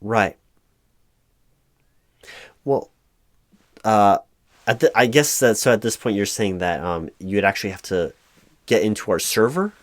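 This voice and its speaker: Male actor as hapless recruiting manager, lowish male voice, stammering, awkwardly polite, monotonous, flustered, ASMR interview roleplay. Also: unhurried, pauses often, lightly acted. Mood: apologetic, hesitant. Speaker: job interviewer. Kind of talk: one-sided loud-spoken ASMR roleplay.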